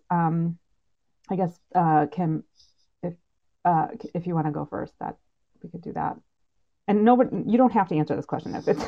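A woman's voice: lowish voice, vocal fry. A woman moderating a panel in a casual, tentative way, with rambling, open-ended questions. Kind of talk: casual interviewing. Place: remote online live panel. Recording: slightly dull online audio.

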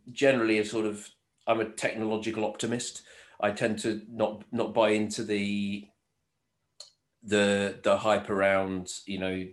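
A man's voice: lowish voice, a bit bright, reverberant. A male host in a casual interview, asking questions and thinking aloud with hesitant, restarting phrasing. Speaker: podcast host.

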